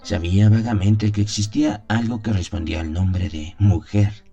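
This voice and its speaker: A man playing a devout priest who solemnly recalls his innocent youth in a gothic radio drama, in measured, literary phrases. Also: on the low side, steady tone, dark tone, highs cut off, natural voice. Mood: solemn, fervent. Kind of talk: dramatized first-person gothic story narration. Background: music.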